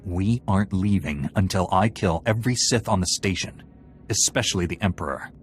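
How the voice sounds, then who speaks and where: A man voices a defiant warrior hero in a space fantasy game. He sounds blunt and assertive in a lowish voice, with music underneath. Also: moderate pace, lightly acted. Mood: hostile, determined. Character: defiant, hot-tempered Jedi warrior bent on vengeance.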